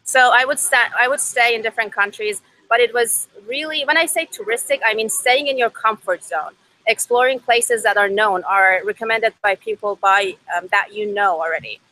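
A woman's voice: on the high side, moderate pace, pushed and bright, rough recording. A young woman with an Iranian accent, a native Farsi speaker, telling her own story conversationally as a podcast guest. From Iran. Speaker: podcast guest, startup co-founder. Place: outdoors by a river. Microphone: video call.

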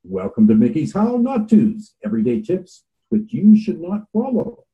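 A man's voice: fairly high pitch, huge pitch swings, normal speed, phone-quality sound. A man voicing a playful male promo announcer, reading a scripted demo with a bouncy, sing-song delivery.

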